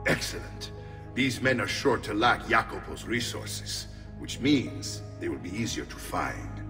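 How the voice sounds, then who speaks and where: Hearty Italian mercenary leader, middle-aged, theatrical, very animated pitch, long hall reverb, game drama. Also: male voice, a little slow. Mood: pondering, gruff. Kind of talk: video game cutscene acting. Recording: long echoing hall, music behind.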